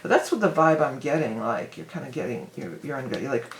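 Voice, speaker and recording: A hoarse woman giving a casual, rambling tarot reading, full of fillers and restarts. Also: extremely low pitch, big pitch swings, fairly quick, echoey room, a little hiss or hum. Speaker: tarot reader.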